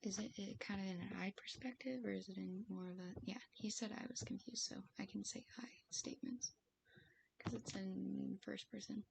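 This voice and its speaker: A woman with a raspy voice streaming as she writes, thinking aloud hesitantly with many fillers and soft laughs. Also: medium pitch, fairly even intonation, moderate pace, rough recording. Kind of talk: casual live-stream musing while writing. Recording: faint hiss behind the voice.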